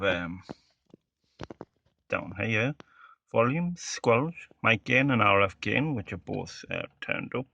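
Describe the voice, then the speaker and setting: A man's voice: on the low side, vocal fry, sharp tone, no room sound. A man giving a casual, hesitant, matter-of-fact demonstration of a piece of equipment as a hobbyist presenter.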